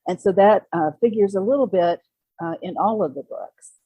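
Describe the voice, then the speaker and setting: A woman in her sixties, a guest author telling personal stories in a casual, halting conversational way. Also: mid-range voice, breathy edge, no echo, highs cut off. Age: 60s. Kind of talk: casual interview, personal storytelling.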